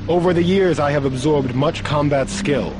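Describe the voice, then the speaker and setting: Higher-pitched, earnest older martial arts champion played by a man. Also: noisy background, lightly acted. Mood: earnest, concerned. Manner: formal, courteous, deliberate. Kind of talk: video game cutscene acting.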